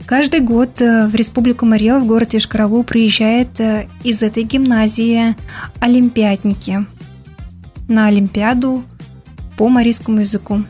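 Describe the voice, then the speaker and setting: Young woman, somewhat high voice, earnest radio-studio guest, faint music underneath. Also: steady tone, moderate pace, darkish tone. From Bashkortostan, Russia. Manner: earnest, explanatory, with hesitant fillers. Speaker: radio guest, Mari language activist. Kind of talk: radio interview answers.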